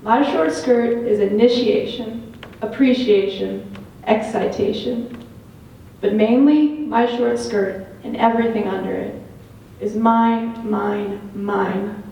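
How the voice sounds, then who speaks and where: A woman's voice: mid-range voice, takes it slowly, creaky, dark tone, lo-fi sound. A young woman delivering a scripted theatrical monologue on stage. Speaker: stage performer. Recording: echoey stage from far off, faint noise.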